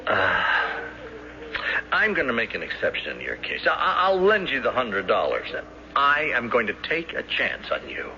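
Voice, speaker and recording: A man playing a soft-hearted, hesitant banker in a radio drama, stammering in a mild, apologetic way. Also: mid-range voice, huge pitch swings, moderate pace, creaky, faint music behind, exaggerated voice. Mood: reluctantly kind. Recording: slightly noisy, dull old radio broadcast.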